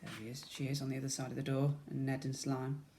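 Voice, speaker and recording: A man's voice: medium pitch, monotone, fast, a little mellow, a little hiss or hum. A man reading a storybook aloud expressively to young children, as a schoolteacher would.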